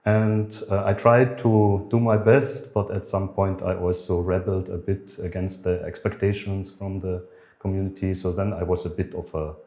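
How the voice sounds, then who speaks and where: Man, middle-aged, low voice, hesitant with many fillers, reverberant room. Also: level tone, a little mellow. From Germany. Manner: halting, many fillers and restarts. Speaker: guest. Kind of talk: conversational podcast interview.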